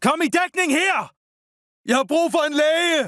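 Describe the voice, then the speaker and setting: A man voicing an urgent soldier in a video game, shouting exclamations in short battle calls. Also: very high voice, no room sound, studio quality. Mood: desperate, pleading. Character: panicked male soldier yelling battlefield warnings and orders.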